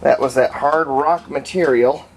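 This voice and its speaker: A man with a gravelly, creaky voice narrates a hands-on hobby demonstration in a casual, folksy way. He takes his time and thinks aloud as he goes. There is a faint layer of noise behind him in the homemade recording. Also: fairly high pitch, a little slow, low-quality recording. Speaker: hobbyist restorer and video host.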